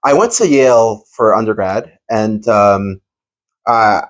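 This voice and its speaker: Low-voiced, hesitant American man. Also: slow pace. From New York City, USA. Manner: hesitant, many fillers, modest. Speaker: guest astronomer. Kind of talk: casual interview chat.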